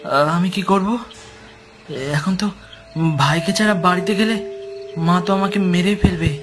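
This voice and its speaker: A man's voice: moderate pace, music in the background, lightly acted. A man voicing a frightened, hesitant boy who stammers anxiously, in a horror cartoon. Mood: frightened, worried. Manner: hesitant, stammering, high-strung. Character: frightened, anxious boy searching for his lost brother.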